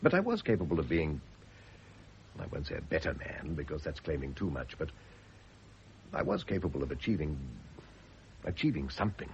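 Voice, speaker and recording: A man's voice: a bass voice, huge pitch swings, normal speed, long pauses, exaggerated voice. An actor playing a wealthy, brooding, urbane Englishman in a radio drama, with a British accent and a theatrical, educated delivery. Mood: bitter self-reproach. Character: wealthy, self-reproaching married Englishman, brooding yet urbane. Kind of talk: mid-century radio drama acting. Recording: dull old radio recording, faint noise.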